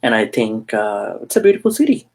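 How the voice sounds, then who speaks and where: A man from Sri Lanka, a Buddhist monk, answering interview questions with many pauses and fillers. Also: fairly low pitch, very animated, a little mellow.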